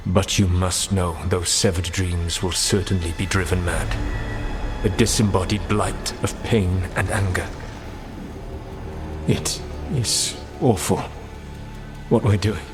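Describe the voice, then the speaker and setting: A man's voice: low voice, steady tone, slow pace, over music. A man voicing a solemn, troubled ancient elven mage in a video game cutscene.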